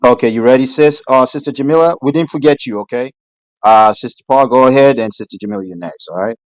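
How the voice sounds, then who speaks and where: A man moderating an online discussion room, hesitant and filling pauses with "um" and "right?". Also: medium pitch, no echo, rough recording. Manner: hesitant, full of fillers and "right?". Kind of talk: casual moderating and commentary.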